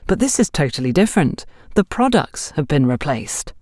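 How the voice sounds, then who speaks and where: A stern shop supervisor, as a woman narrator plays her, speaks with sarcasm and accusation. Her voice is animated and dry-recorded, made for an audiobook drama. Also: low voice, normal speed, no room sound. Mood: accusing.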